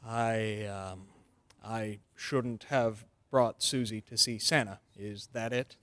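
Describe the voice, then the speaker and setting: Actor as slow-talking, sheepish nice-guy neighbor. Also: fairly low pitch, steady tone, fairly bright tone. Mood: apologetic. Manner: hesitant, apologetic. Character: sheepish, well-meaning gentleman neighbor. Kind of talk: old-time radio drama acting.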